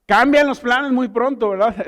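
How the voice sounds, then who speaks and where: A man with a high-pitched voice preaching to a church congregation. He talks conversationally, firing rhetorical questions and acting out small everyday scenes to make his points. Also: a little slow, fairly bright tone. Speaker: pastor. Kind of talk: sermon. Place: church service.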